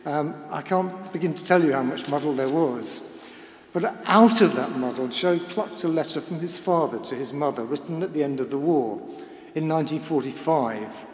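Older male, slightly raised pitch, formal and measured eulogy delivery, reverberant church acoustic. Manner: measured, formal, literary phrasing. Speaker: memorial speaker. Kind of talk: prepared spoken tribute. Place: large church, speaking to a gathering. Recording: echoey church hall with long reverb.

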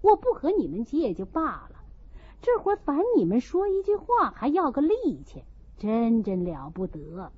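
A woman narrator puts on a cajoling husband of a wealthy house in a classic-novel audiobook. He wheedles and grumbles in a husky, expressive voice. The recording sounds slightly dull. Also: a little high, moderate pace, heavily acted. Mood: exasperated. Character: rich husband, cajoling and easily exasperated.

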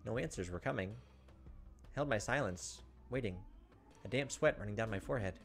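A man, perhaps in his thirties, reading visual novel narration aloud and commenting, with dramatic, halting delivery. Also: on the low side, gravelly. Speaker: gaming video host. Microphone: desk microphone close up. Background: game music.

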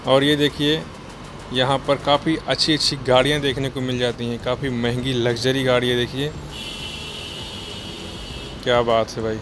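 A man's voice: mid-range voice, level tone, normal speed, pauses often, music in the background. A young man from India narrating a walking tour to his phone camera, explaining step by step and talking straight to viewers, outdoors in a busy city and on a bus. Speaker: travel vlogger. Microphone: handheld phone camera. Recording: street and traffic noise behind.

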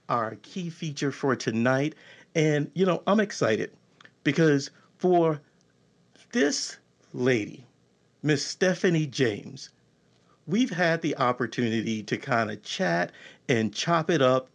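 A man hosting a casual podcast interview, enthusiastic and full of eager questions. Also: a little high. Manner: enthusiastic, excitable, asks many questions. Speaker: podcast host.

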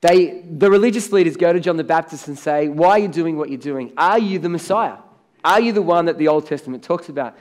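Australian pastor preaching, higher-pitched man. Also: fairly quick, darkish tone. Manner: conversational preaching, rising to emphatic on key words. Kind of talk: sermon. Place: large church stage with blue lighting.

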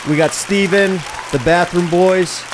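A man's voice: sits high, fairly even intonation, unhurried, a crowd in the background, background noise. A man in his thirties from New York, hosting a podcast in a small studio with an upbeat, joking manner. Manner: upbeat, joking, eggs guests on. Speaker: podcast host. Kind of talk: casual podcast chat. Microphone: studio mic on boom arm, headphones.

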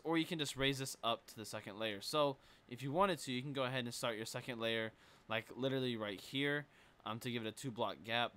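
A man's voice: mid-range voice, pushed and bright, clean tone. A young man with a sore throat, casually talking through a game building tutorial with plenty of fillers and restarts. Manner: casual, rambling, lots of fillers. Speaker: gaming tutorial creator.

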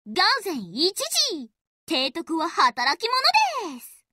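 A woman's voice: very high-pitched, huge pitch swings, slow pace, pushed and bright, slight background noise, exaggerated voice. A woman voicing a bubbly, doting battleship girl for an anime game, peppy and exclaiming, with sprinkled with English words. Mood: bright, affectionate. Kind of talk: anime game character voice lines, hourly announcements.

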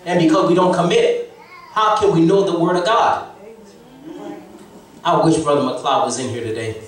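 A man's voice: fairly high pitch, moderate pace. A man in his thirties from Haiti with a Haitian accent, preaching an exhorting sermon as guest preacher. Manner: exhorting, preaching to the congregation with rising emphasis. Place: church sanctuary, mid-size, indoors. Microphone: handheld mic, far camera. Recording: echoey church heard from far off. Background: congregation responding.

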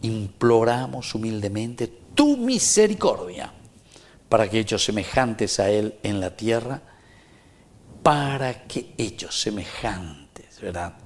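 A man's voice: on the low side, big pitch swings, slow. A middle-aged man with a Paraguayan Spanish accent, preaching a homily at Mass in earnest, repeating phrases and asking rhetorical questions. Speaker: priest. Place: small chapel with wooden walls, indoors.

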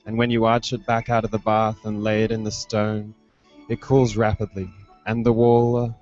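A man's voice: fairly low pitch, monotone, moderate pace, darkish tone. A young man giving a literary reading of experimental fiction into a handheld microphone. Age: young adult. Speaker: writer or performer reading at a festival. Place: indoor room, live online video stream. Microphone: handheld microphone held close. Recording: dull lo-fi webcast, prominent music behind. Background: music soundscape.

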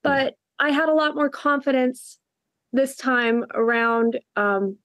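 A young woman from Texas, a guest musician, talking softly and brightly about her singing on a video call from her living room. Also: high voice, slow pace, dry recording. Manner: soft-spoken, upbeat. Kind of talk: casual interview chat.

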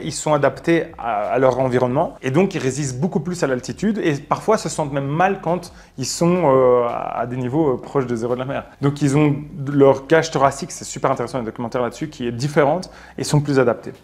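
A man tells an adventure story in a studio interview. His voice is recorded close on a lapel mic. He talks with his hands, restarting phrases and filling pauses as he goes. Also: a little high. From Belgium. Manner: animated, gestures a lot, many fillers and restarts. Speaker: travel adventurer guest. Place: studio with plain backdrop.

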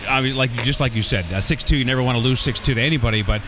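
A man's voice: medium pitch, racing. A middle-aged man asking questions as a sports interviewer in a casual postgame chat. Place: small cinder-block room indoors at a rink. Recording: noisy arena room, steady background din.

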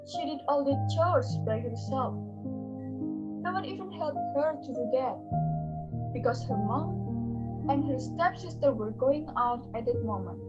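Young woman storyteller with high voice. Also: animated, measured pace, long pauses, dull audio, over loud music. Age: young adult. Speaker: narrator. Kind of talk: scripted storybook narration. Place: indoors on a video call.

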